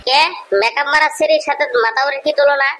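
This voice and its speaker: A high-pitched, fast-talking cartoon villager voiced by a man, brazen and full of schemes. He wheedles and banters in a rural Bengali dialect. The voice is electronically raised, with music playing behind it. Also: fairly even intonation, pushed and bright, a little hiss or hum. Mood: bantering. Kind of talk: comic cartoon dialogue.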